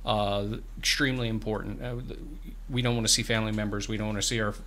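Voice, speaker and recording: A man's voice: on the low side, little pitch movement, fast talker, sharp tone, slight background noise. A man in his fifties, a guest in a small radio studio, explaining programs earnestly and often restarting his words. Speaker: farm bureau representative, guest. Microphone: studio microphone on boom arm, headphones.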